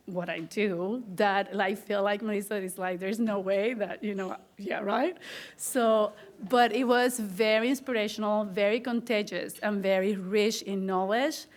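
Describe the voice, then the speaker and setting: A middle-aged woman with a strong Puerto Rican accent, giving an earnest, grateful acceptance speech as an honored teacher, often restarting her phrases. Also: mid-range voice. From Puerto Rico.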